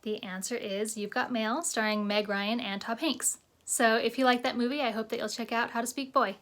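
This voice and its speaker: Higher-voiced woman author. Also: steady tone, normal speed, fairly bright tone. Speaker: young adult author. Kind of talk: casual book introduction and trivia question.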